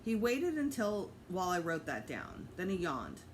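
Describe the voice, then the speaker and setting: Female teacher, storybook narration for kids: very expressive intonation, slightly low pitch, faint noise behind. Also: normal speed, poor audio. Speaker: teacher reading aloud to students.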